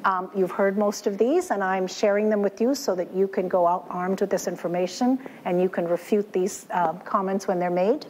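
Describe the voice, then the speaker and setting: Measured woman explaining flu vaccines. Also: mid-range voice, steady tone, a little mellow, slight background noise, low-quality recording. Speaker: infectious disease researcher. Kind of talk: scientific talk.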